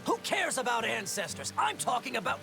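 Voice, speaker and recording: A man's voice: very high-pitched, big pitch swings, brisk, creaky, exaggerated voice, low-quality recording. A man playing an impatient, frustrated young farmer's son in a video game, complaining in an agitated way. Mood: exasperated. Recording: music behind.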